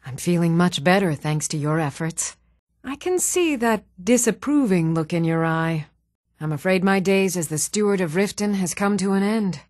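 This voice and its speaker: A woman's voice: fairly low pitch, lively intonation, moderate pace, a little mellow, dry recording, close to an everyday voice. Casting: actress for composed fantasy game woman of rank, courteous formal delivery, dry studio sound. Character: composed, polite fantasy townswoman, steward or priestess. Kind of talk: fantasy game character dialogue.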